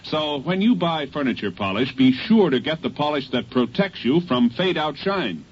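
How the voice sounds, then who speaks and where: A man's voice: on the high side, big pitch swings, normal speed, husky. An American man, a polished, persuasive announcer reading advertising on mid-century radio. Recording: muffled vintage radio recording.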